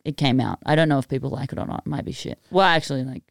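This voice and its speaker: A young Australian woman, a music producer, chatting on a podcast in a giggly, self-deprecating, animated way. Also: a bass voice, racing, creaky, no room sound, clean studio sound. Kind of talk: casual podcast chat.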